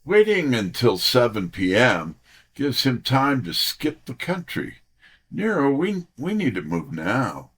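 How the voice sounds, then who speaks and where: A wisecracking private detective's sidekick, voiced by a man, tells his story in hard-boiled first person. His pitch swings widely while the delivery stays unhurried. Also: wildly swooping pitch, a bit bright, husky, exaggerated voice. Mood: urgent. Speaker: detective's assistant and narrator.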